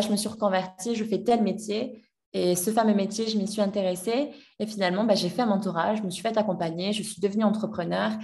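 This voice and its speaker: A young woman in her twenties tells her story as a podcast guest, pausing often and restarting her sentences. Her voice sits at a middle pitch. She sounds a little away from the microphone. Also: creaky, darkish tone. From France. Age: 20s. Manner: hesitant, many fillers and restarts. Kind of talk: personal story in a podcast interview. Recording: voice a bit distant from the mic.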